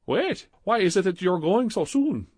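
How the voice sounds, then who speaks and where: A man voicing a flirtatious, pompous middle-aged male hotel clerk with a put-on Indian accent, in a high, sing-song, overly formal way. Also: very animated, normal speed, a little mellow, husky, no echo, heavily acted. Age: 40s.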